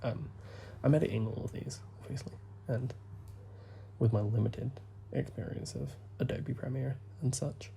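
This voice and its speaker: A young man, talking softly and rambling as he plays a game, full of fillers and asides. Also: mid-range voice, fairly even intonation, gravelly, slight background noise. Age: young adult. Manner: soft, deliberately hushed talk with frequent fillers. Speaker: gaming YouTuber. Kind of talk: rambling gameplay commentary.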